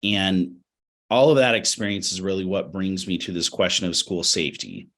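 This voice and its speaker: A middle-aged American man with a slightly raspy, cold-affected voice, speaking as an interview guest in a measured, halting, earnest way. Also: deep voice, a bit bright. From Utah, United States. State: has a cold. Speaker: interview guest, education professional. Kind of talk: reflective webinar interview.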